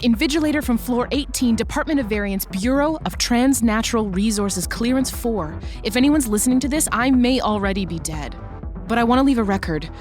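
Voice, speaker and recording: A woman playing a blunt, sweary, determined government invigilator in an urgent crisis, in an audio drama. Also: on the high side. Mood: urgent, alarmed. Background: music.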